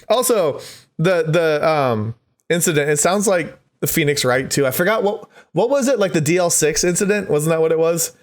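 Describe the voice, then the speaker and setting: Lively, higher-voiced man reading game text. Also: normal speed. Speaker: gaming video host. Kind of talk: let's play reading visual novel text aloud with casual commentary.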